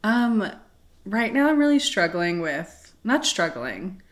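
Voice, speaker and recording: A woman's voice: mid-range voice, very animated, takes it slowly. Giggly young woman from the Philippines. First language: Tagalog. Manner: giggly, bubbly, lots of fillers. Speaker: guest, cooking show host. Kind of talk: casual interview chat.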